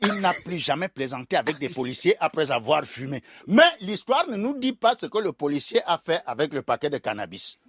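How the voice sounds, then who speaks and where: A man's voice: a little high, brisk, hardly any pauses, slightly rough, no echo. An older man from Cameroon, telling a comic tale as a guest storyteller in a theatrical way and calling out to the host. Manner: theatrical, animated, addresses the host directly.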